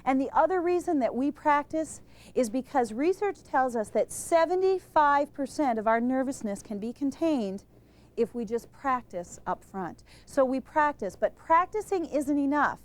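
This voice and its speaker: A woman giving an energetic lesson on public speaking to a live group, emphatic and quizzing the room. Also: sits high, normal speed. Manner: energetic, emphatic, asks questions of the audience. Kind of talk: instructional talk to a live group.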